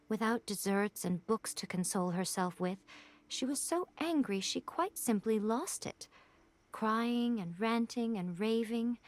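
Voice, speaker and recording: A woman voicing a sly, teasing shrine priestess in a game cutscene, playful and mocking in manner. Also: on the high side. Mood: mock pity.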